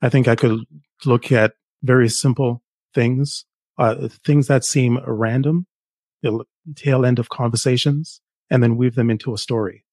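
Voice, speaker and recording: A man's voice: medium pitch, steady tone, moderate pace, darkish tone, dry recording. A middle-aged man from Jamaica, a guest author, telling stories enthusiastically in a casual interview. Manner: enthusiastic storyteller, some restarts, laughs.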